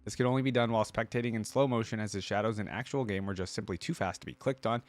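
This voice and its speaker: Guy with a lowish voice doing casual reaction commentary, thinking out loud, super dry close sound. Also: quick pace, no room sound. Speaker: reaction video host.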